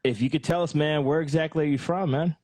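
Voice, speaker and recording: A man's voice: on the high side, fairly even intonation, quick pace, a little mellow, no echo. A man hosting a podcast, chatting casually with a guest and ending his lines with "man" and "bro". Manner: laid-back slangy talk, "man" and "bro" at the end of lines. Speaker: podcast host. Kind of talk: casual interview chat.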